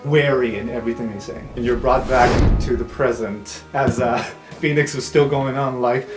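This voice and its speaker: A man's voice: mid-range voice, fairly even intonation, normal speed. Man narrating, roomy sound with music. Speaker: game master. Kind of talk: improvised tabletop game narration.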